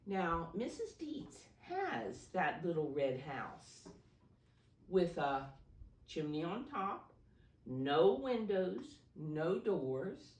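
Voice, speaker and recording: Female preschool storyteller, animated intonation, unhurried, asking simple questions, roomy echo, distant mic. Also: on the low side. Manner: simple questions and explanations to small children. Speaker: preschool storybook reader, teacher figure. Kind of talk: read-aloud picture book for preschoolers.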